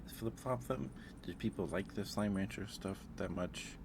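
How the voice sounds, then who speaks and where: A man's voice: low-pitched, a little slow, creaky, noisy background. A man hosting a casual livestream, thinking aloud in a hesitant way. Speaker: livestream host.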